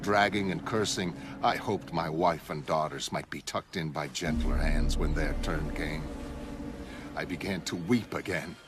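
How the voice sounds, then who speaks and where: A man's voice: a bass voice, a little slow, music in the background, pitched below the performer's own voice. A man voicing an anguished castaway mariner, narrating a gothic tale in a solemn, literary way. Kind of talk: dramatic first-person story narration.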